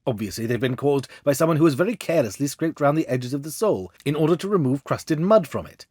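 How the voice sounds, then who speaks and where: A man voicing a cool, self-assured gentleman detective in a storybook reading, with a precise, analytical and gently condescending manner. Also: fairly high pitch, lively intonation, fast, no room sound, clean studio sound. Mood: confidently explaining. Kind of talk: storybook character dialogue.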